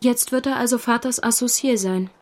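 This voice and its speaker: An actress playing a gentle, devoted young woman with an earnest, composed manner in a radio drama. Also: fairly high pitch, normal speed, lightly acted. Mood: worried, earnest. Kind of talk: German radio drama dialogue.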